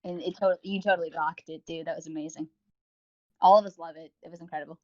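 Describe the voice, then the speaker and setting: A woman's voice: low voice, fairly even intonation, fast talker, darkish tone, highs cut off. A woman co-hosting a podcast, chatting casually and effusively as she reviews audio dramas. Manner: effusive, informal. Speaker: podcast co-host.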